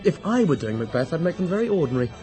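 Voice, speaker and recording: Radio drama: exuberant young English socialite, flippant, lightish high male pitch, music behind. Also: sing-song, racing. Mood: musing, playful. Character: breezy, teasing young upper-class English socialite. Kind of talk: British radio drama acting.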